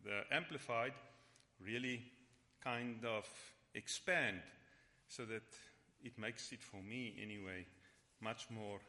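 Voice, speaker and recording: A man whose first language is Afrikaans leads a church Bible study and prayer, speaking haltingly with frequent restarts. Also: fairly low pitch, pushed and bright. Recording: long hall reverb.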